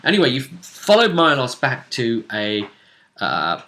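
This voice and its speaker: Bright-voiced man recapping a tabletop game. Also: mid-range voice, wildly swooping pitch, a little slow. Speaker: game master.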